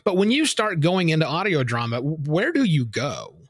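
A man's voice: fairly high pitch, very animated, normal speed, slightly rough, no echo, studio quality. A man answering interview questions in an opinionated, emphatic way, explaining his points with examples. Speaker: podcasting guest expert.

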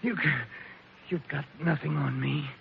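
Blustering crooked boss, old radio. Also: male voice, a little high, a little slow, vocal fry. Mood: desperate, defensive. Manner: agitated, scornful. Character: scheming, blustering crooked foundation boss, contemptuous villain. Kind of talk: 1940s radio acting. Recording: muffled, noisy 1940s radio recording.

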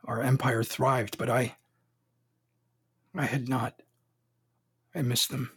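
A husky, brooding young emperor. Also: male voice, medium pitch, flat delivery, takes it slowly, fairly bright tone, heavily acted. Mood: brooding, sorrowful. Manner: brooding, clipped, formal. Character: angry grieving young emperor, brooding and proud. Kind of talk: audio drama acting with first-person narration.

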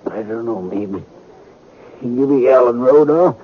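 An actor playing a badly wounded man in a 1950s Western radio drama, speaking in halting, air-filled breaths with bewildered grievance. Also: mid-range voice, breathy edge, dull audio. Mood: weak, gasping. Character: wounded frontier robbery victim, bewildered and aggrieved, gasping. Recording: thin, noisy 1950s radio recording.

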